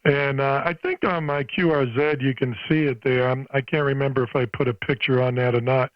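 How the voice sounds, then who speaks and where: A man's voice: mid-range voice, steady tone, fairly quick, no echo. An older American man chatting casually as an amateur radio operator over the air. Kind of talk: casual ham radio chat. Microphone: radio microphone. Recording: dull, lo-fi ham radio transmission.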